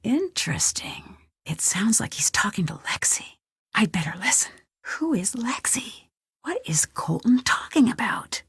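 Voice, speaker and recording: A woman's voice: medium pitch, big pitch swings, measured pace, slightly rough, no room sound, whispered, full character voice. A young woman playing a curious, plucky amateur detective in an adventure video game, thinking aloud to herself. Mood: hushed, secretive. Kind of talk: scripted adventure game voice lines.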